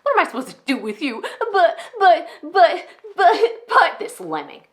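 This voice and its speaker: A woman's voice: high-pitched, big pitch swings, very fast, voice pushed up. A woman playing a narcissistic, contemptuous female megachurch founder in a ranting, mocking dramatic monologue. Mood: mocking, manic. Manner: ranting, mocking, swinging from scorn to outburst. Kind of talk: dramatic solo monologue acting.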